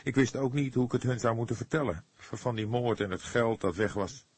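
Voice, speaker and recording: A troubled young male crime suspect, as a male audiobook narrator plays him, set well above a low natural register. He sounds earnest and emotional, pleading his case with some defiance. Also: fairly quick, slightly rough, no room sound, lightly acted.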